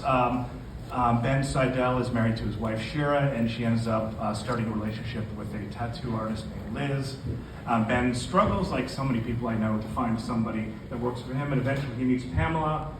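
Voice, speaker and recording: A man's voice: medium pitch, normal speed, hardly any pauses, recorded from a distance. Man at a reading, noisy echoey room. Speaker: novelist. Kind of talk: live literary reading with informal introduction. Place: indoor live venue.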